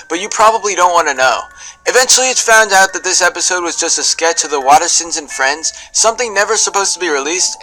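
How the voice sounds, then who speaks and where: A man's voice: on the high side, pushed and bright, rough recording. A man reading scripted narration for an online video, with music behind him. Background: background music.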